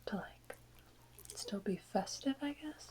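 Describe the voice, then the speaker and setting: A woman whispering softly and close to the microphone in an informal, rambling ASMR chat with unhurried pauses. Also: high voice, lively intonation. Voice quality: soft unvoiced whisper. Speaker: ASMR video creator. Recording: close whisper with faint background noise.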